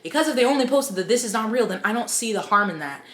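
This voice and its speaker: A woman's voice: fairly high pitch, lively intonation, quick pace, reverberant. A woman book vlogger giving casual, chatty opinion commentary with wry, incredulous asides.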